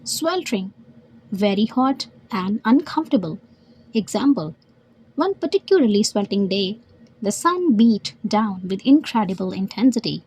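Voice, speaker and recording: A woman's voice: mid-range voice, lively intonation, moderate pace, a little mellow, slightly rough, no echo, low-quality recording. A woman reading a scripted educational story as narrator and vocabulary teacher.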